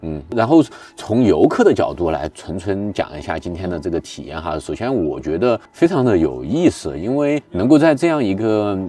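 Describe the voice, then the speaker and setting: A man's voice: sits low, sing-song, normal speed, hardly any pauses, dark tone, breathy edge. A man hosting a travel vlog, moving between casual chat and descriptive narration. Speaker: vlog host.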